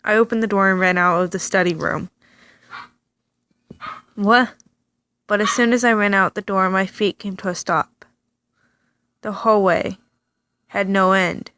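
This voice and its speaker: A woman reading a story game aloud, with animated reactions and stammered asides. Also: mid-range voice, a little slow, long pauses, a little hiss or hum. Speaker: let's play reader.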